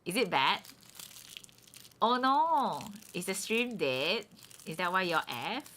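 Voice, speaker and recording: A woman's voice: medium pitch, big pitch swings, slow, fairly bright tone, slight background noise. A woman streaming a game, chatting casually and poking fun at her own voice acting. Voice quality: chatty, self-mocking. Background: game music.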